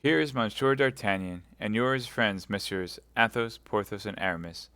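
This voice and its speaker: A man's voice: lowish voice, normal speed, fairly bright tone, clean tone, no echo. A man voicing a pale stranger who addresses the room formally and solemnly.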